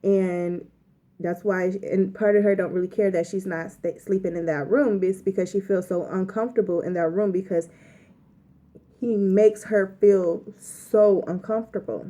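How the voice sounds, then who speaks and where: A woman's voice: mid-range voice, fairly even intonation, moderate pace, dark tone. A young woman vlogger casually telling a story to the camera, chatty and rambling with lots of filler words.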